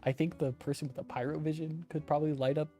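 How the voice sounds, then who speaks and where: A woman game streamer giving casual gameplay commentary, joking in a deadpan way. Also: low-pitched, fast. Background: game music.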